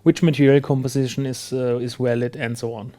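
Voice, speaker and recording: Non guy chatting on a business podcast, mid pitch, agreeable, kinda halting while he finds words. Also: steady tone, dark tone. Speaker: podcast host. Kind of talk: conversational business podcast discussion.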